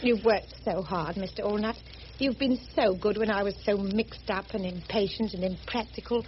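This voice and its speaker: An actress playing a prim, sheltered, earnest missionary spinster in a radio drama, with a proper, gently insistent manner. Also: female voice, mid-range voice, normal speed, lightly acted. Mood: earnest, shy. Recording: muffled, noisy old radio recording.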